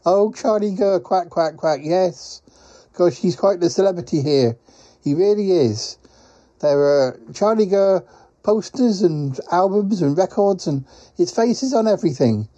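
Casting: male performer as a fussy, polite duck courier for comedy audio; highish, hesitant, quack-punctuated, slightly muffled recording. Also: animated, a little slow, mellow. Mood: fussy, chattering. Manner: hesitant, rambling, quacks as a verbal tic. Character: flustered, polite talking duck courier, male, pie-stuffed traveller. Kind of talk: comic audio skit for a podcast.

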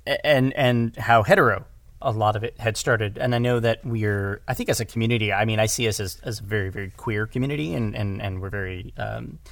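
Guy chatting in an interview, deep-ish creaky voice, very dry recording, kinda rambles and rewords stuff. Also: a little fast, a bit bright, no echo. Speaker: audio drama director, podcast guest. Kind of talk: casual interview conversation.

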